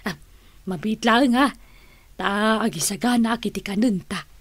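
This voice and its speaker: A woman's voice: mid-range voice, normal speed, slightly rough, no echo, lightly acted. A woman in an Ilocano radio drama playing a wealthy, plain-living older aunt, playful and good-natured, drawing out her words with emphasis. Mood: warm, reminiscing.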